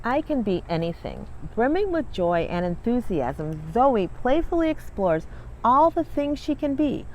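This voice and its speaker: A woman's voice: a little high, very animated, measured pace, darkish tone, muffled recording, a little hiss or hum. A woman hosting a children's read-along, speaking in an encouraging, emphatic way as she introduces and reads a picture book. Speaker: read-along host and coach, reading with her daughter.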